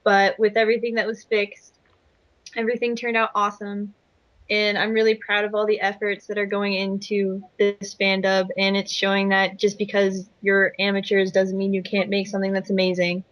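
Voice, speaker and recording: A woman's voice: medium pitch, completely flat delivery, moderate pace, a bit bright, dry recording. A young woman giving a casual review as an amateur voice actor over a lo-fi mic. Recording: dull lo-fi voice-chat mic.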